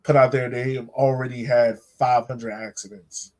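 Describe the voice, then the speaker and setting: Guy hosting a fan podcast, casual sports chat, slangy and into it, mid-pitched voice. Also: little pitch movement, moderate pace, darkish tone. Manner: informal, slangy, enthusiastic. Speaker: podcast host.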